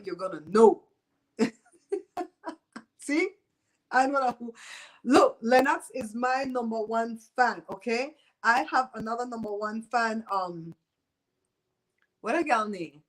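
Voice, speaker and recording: Belizean lady in her 50s gossiping on her live show, blunt, laughs a lot, kinda high voice. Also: poor audio. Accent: Belizean Creole. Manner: blunt, gossipy, laughs often, Creole-flavoured speech. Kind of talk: casual chat with live viewers.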